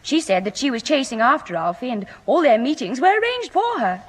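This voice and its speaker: A woman playing a prim, sharp teenage daughter in a 1960s radio sitcom. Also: high-pitched, big pitch swings, brisk, slightly rough, heavily acted. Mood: forgiving. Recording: old radio recording with long reverb.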